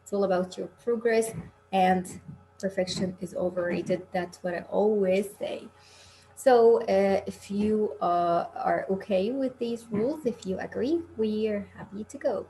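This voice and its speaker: A woman's voice: medium pitch, a little slow, dark tone. A woman from Egypt teaching an English class online, talking in an encouraging, reassuring, informal conversational way. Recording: noisy online call with background hum.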